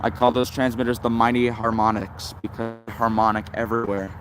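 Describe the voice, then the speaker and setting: A man's voice: medium pitch, little pitch movement, brisk, noisy background. A man giving casual, unscripted hobbyist commentary as a livestream host, rambling and thinking aloud. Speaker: shortwave radio livestream host.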